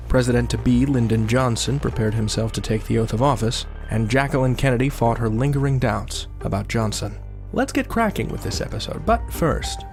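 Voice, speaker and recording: A man hosting an audio drama, narrating a scripted introduction with theatrical, deliberate phrasing and wry asides. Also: medium pitch, gravelly. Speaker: podcast host, narrator. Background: music.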